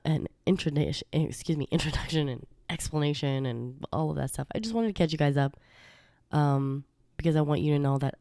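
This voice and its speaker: A woman's voice: low voice, smooth, dry recording, studio quality. A woman hosting her own podcast in a chatty, rambling way, sounding congested from a recent illness. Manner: chatty, rambling with fillers and soft laughs. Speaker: podcast host. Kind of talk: casual solo podcast talk.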